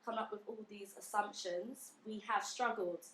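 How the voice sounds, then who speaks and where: A woman's voice: medium pitch, fairly even intonation, normal speed, fairly bright tone, echoey room, a little hiss or hum, rough recording. A woman speaking earnestly and sincerely in a group discussion.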